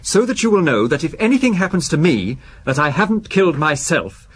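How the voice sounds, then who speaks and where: An actor playing a nervous, obsessive husband in a radio drama, speaking hesitantly and apologetically yet with stubborn insistence. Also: male voice, on the high side, big pitch swings, a little fast, slight background noise. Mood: insistent, tense. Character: nervous, obsessive shop-employee husband fearing poisoning.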